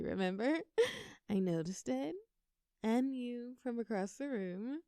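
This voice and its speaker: A woman's voice: medium pitch, warm tone, dry recording, studio quality. A young woman playing a bubbly, affectionate girlfriend in an intimate audio roleplay, talking playfully and tenderly to her partner in a coaxing, conversational way.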